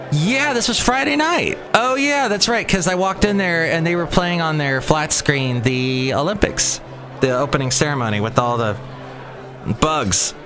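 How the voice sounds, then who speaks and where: A middle-aged man hosting his own podcast, rambling and chatty, often breaking off mid-sentence. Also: on the high side. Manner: rambling, chatty, self-interrupting. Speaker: podcast host. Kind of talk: casual solo podcast chat.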